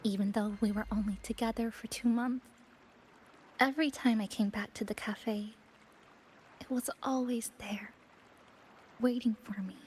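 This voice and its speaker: A woman plays a reserved young video game heroine with a fairly high voice. She speaks softly and slowly, with hesitant pauses and earnest restraint, over music. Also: fairly even intonation, lightly acted. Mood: quiet grief. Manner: soft, hesitant, measured, with pauses. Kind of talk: video game story dialogue.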